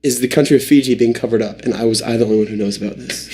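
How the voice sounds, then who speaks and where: Young man, podcast co-host; quick, excitable delivery. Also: medium pitch, crowd noise. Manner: excitable, tells absurd mock-serious tales. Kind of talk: comedic storytelling chat.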